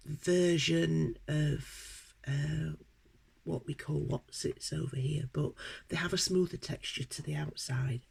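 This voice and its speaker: Croaky British woman with a cold. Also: deep voice, a little mellow, slight background noise. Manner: hesitant, many ums and pauses. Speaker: unboxing vlogger. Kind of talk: casual unboxing chat.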